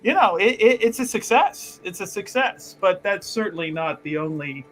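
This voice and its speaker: A man playing his tabletop character with a high, bright voice. He boasts at length and stumbles through plenty of ums and uhs. Faint music runs underneath. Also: expressive, low-quality recording. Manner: halting, filler-heavy, boastful in character. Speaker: tabletop game player. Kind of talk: in-character roleplay.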